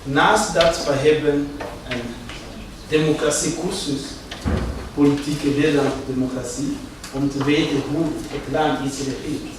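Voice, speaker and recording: A man's voice: fairly high pitch, steady tone. Distant man in an echoey noisy hall. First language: not Dutch. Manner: mixes English words into Dutch, halting. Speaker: foundation representative. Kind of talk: short speech to an audience.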